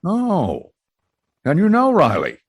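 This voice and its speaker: A man performs a polite company boss in old-time radio comedy style. His voice is rough-edged and moves expressively up and down, recorded dry. He hesitates and sputters with formal good manners, yet holds his ground. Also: a little high, wildly swooping pitch, slow, dry recording, heavily acted. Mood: pleased. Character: flustered, well-mannered company boss, genial but firm.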